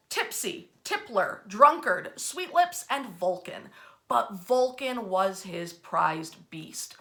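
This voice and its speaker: A woman with a bright, crisp-edged voice at an average pitch tells history as a storyteller. She is animated and informal, stressing words for dramatic effect. Wry, colloquial asides break up the narration. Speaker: host and storyteller of a history series.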